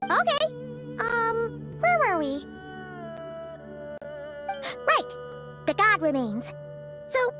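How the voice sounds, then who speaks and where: A woman voicing a tiny floating female companion sprite, chirpy and excitable, who talks about herself in the third person. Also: very high voice, heavily acted. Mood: chatty. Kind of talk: game voice acting.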